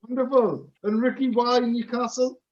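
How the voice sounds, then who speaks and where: High-voiced man asking short questions. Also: level tone, normal speed, darkish tone. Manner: brief, encouraging questions. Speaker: show host. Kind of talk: casual interview talk. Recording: muffled lo-fi recording.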